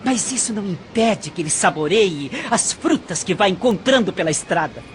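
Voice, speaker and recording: A big, brazen young Brazilian charmer in an audio drama. His pitch swings widely as he talks down to people with smug, domineering assurance. There is some background noise. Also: expressive, normal speed. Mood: scornful, smug. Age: young adult.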